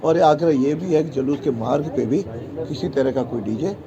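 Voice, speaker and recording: A man's voice: fairly high pitch, moderate pace, crowd noise. A man making an appeal in a statement to the press. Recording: dull outdoor audio, traffic and birds.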